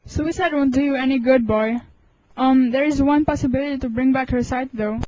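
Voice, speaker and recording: A woman's voice: high voice, fairly quick, dark tone, dry recording, natural voice. A young woman playing a brusque, evasive hospital doctor in a student radio drama. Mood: businesslike. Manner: brusque, dismissive. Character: curt, evasive, irritable hospital eye doctor. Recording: muffled amateur recording, faint noise.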